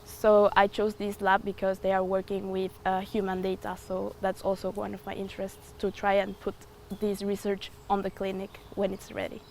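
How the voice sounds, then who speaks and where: Woman, 20s; thoughtful interview answers with fillers, average pitch, slight background noise. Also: monotone, moderate pace, gravelly, hardly any pauses, a little mellow. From Ecuador. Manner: thoughtful, hesitant with frequent fillers. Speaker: student.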